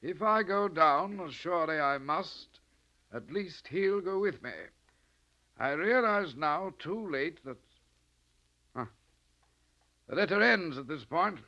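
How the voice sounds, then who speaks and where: An actor playing a skeptical ship's officer in 1940s radio style, formal and authoritative. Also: male voice, a little high, expressive, slow pace, pauses often, pushed and bright, full character voice. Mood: reading gravely. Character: brisk, skeptical male ship's officer in command. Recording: dull old radio drama recording.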